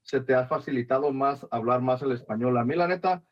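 A man's voice: mid-range voice, fairly quick, lo-fi sound. A man with a Mexican-American accent co-hosting in casual, slangy chat. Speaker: co-host.

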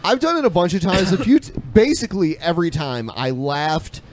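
A man's voice: high-pitched, animated, brisk. A man co-hosting a podcast, chatting casually in a rambling way. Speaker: podcast co-host. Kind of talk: casual chat.

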